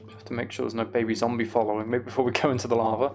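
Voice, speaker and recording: A man's voice: mid-range voice, level tone, racing, darkish tone. A man giving casual, self-deprecating gameplay commentary, musing aloud as he goes. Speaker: gaming video host.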